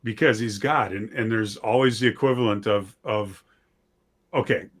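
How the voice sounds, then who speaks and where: A man's voice: on the low side, moderate pace, fairly bright tone. A middle-aged man chatting casually as an interview guest, with folksy, self-deprecating phrasing. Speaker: interview guest, audio drama writer. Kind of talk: casual chat.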